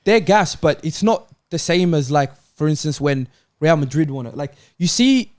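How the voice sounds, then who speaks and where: A man's voice: on the high side, lively intonation, normal speed, a little mellow. A man in a casual football debate, phrasing his argument tentatively and circling round his point. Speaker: football panel show regular.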